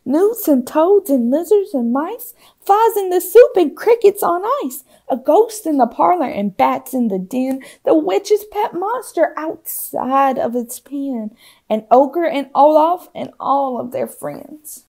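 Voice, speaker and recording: Lady doing storytime read aloud for kids, highish mellow voice, expressive n a bit theatrical. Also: slow, vocal fry. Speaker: storytime reader. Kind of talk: expressive children's picture-book read-aloud.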